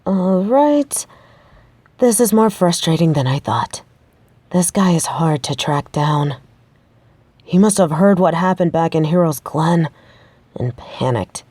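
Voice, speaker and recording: Woman acting a mellow, determined female researcher. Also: on the low side, animated, takes it slowly, long pauses, husky, no room sound, heavily acted. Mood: frustrated, resolute. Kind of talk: audio roleplay acting, addressing the listener.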